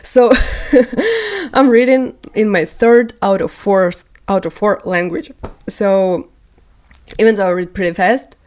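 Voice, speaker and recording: A woman's voice: mid-range voice, animated, takes it slowly, long pauses, a little mellow. A woman whose first language is not English, chatting casually about books on her vlog, rambling and laughing often. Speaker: book vlogger. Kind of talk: casual chat.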